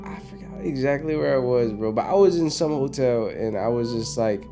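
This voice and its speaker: A man's voice: medium pitch, normal speed. A man, a singer, chatting casually and easily, saying "bro" a lot. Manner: easygoing, laughs often, says "bro" a lot. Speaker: singer, artist. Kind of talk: casual chat. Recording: music clearly playing behind.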